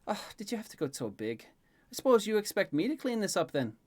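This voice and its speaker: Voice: mid-range voice, sing-song, quick pace, pitched above the performer's own voice. Someone voicing a lilting, teasing older woman caretaker. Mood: amused, teasing. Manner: playful, bossy, mock-scolding. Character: teasing, affectionate older female caretaker of a young prince.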